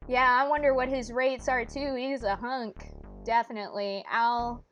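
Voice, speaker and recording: A woman's voice: fairly high pitch, steady tone, normal speed, lo-fi sound. A woman livestreaming a visual novel game, chatting casually and reading lines aloud with giggly, rambling asides. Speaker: streamer playing a visual novel. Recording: music playing behind. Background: video game music.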